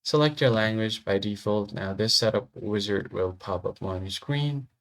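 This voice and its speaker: A man presenting a software tutorial, giving casual step-by-step instructions. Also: fairly low pitch, normal speed. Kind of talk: step-by-step screen tutorial narration.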